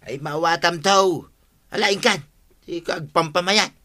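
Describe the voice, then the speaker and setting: A very bright-voiced, bossy buddy. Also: male voice, high voice, moderate pace. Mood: confident, instructing. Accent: Ilocano. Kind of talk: radio comedy drama acting.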